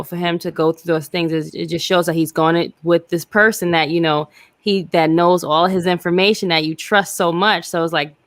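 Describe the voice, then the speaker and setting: A woman co-hosting a review podcast, with a voice a little lower than most women's. She talks in a relaxed, meandering way, leaning on "like" and "you know". The sound is close and dry. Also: no room sound. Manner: laid-back, lots of "like" and "you know", laughs easily. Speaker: podcast co-host. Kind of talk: casual review chat.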